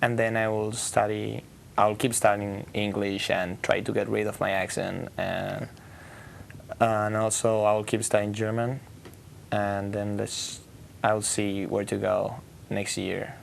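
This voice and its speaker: A teenage exchange student from Argentina, speaking English hesitantly in a studio interview. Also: male voice, on the low side, flat delivery, unhurried, gravelly, a little hiss or hum. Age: teens. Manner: hesitant, searching for words.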